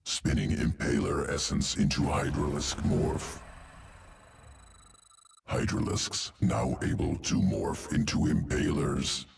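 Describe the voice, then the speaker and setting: Clinical alien creature, game dialogue, extremely low gravelly voice, slow, telegraphic, guttural. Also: male voice, animated, a little hiss or hum, heavily acted. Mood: detached, clinical. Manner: clipped telegraphic phrases, no small words. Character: cold, clinical alien creature that engineers genes. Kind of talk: video game character dialogue.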